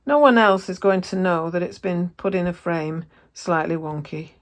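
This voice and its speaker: A woman with a croaky voice, chatting casually through her sewing work and correcting herself as she goes. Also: lowish voice, normal speed. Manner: chatty, self-correcting, thinks aloud while working. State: croaky voice, coughing. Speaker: sewing vlogger. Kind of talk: casual sewing vlog narration.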